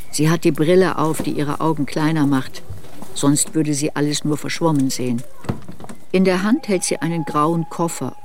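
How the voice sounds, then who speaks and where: An actor narrating a literary radio drama as a reflective narrator looking back on youth. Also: male voice, a little high, darkish tone, slight background noise. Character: narrator recalling a girl's youth in reflective memoir. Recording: studio radio drama with music.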